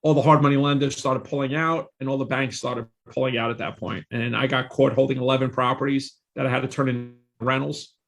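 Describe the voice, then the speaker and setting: A man's voice: mid-range voice, fairly even intonation, fairly quick, gravelly, hardly any pauses, fairly bright tone. A man in his forties, a guest on a podcast, telling his career story in a confident, salesy way with emphatic repetition. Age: 40s. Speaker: real estate investor and guest.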